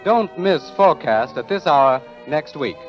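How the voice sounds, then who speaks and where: A male announcer from 1940s radio, pitched fairly high with lively swings. He reads formally over music, and the old recording sounds muffled. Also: unhurried.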